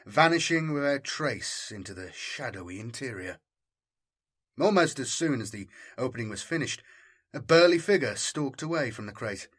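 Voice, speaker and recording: A man reading a military science fiction story as dramatic scripted narration, in a measured, formal storytelling manner. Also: mid-range voice, a bit bright, husky. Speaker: audiobook narrator.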